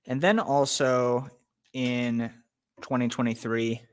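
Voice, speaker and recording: A young man hosting a casual live stream, chatting loosely with viewers and filling pauses with lots of ums. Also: mid-range voice, smooth, no room sound. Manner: relaxed, many ums, greets chat viewers. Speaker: livestream host. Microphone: webcam setup.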